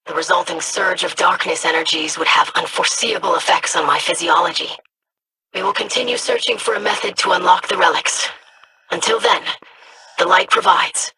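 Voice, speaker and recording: A woman voicing a measured, studious young alien scholar in a video game. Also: fairly low pitch, pushed and bright, slightly rough, exaggerated voice, low-quality recording. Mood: thoughtful. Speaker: researcher.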